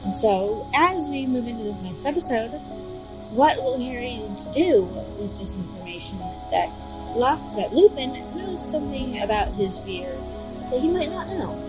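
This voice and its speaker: High, animated woman recapping a story. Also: normal speed, music in the background, low-quality recording. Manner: informal, playful. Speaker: host giving a recap. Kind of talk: casual, chatty story recap.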